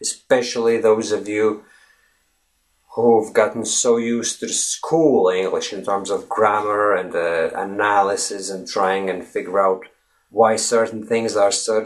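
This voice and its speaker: A young man teaches English in a casual to-camera video, his first language Russian. He talks earnestly and animatedly, stumbling and restarting often with fillers. His voice sits on the low side. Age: young adult. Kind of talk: unscripted video lesson to camera. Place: indoors in front of a green screen.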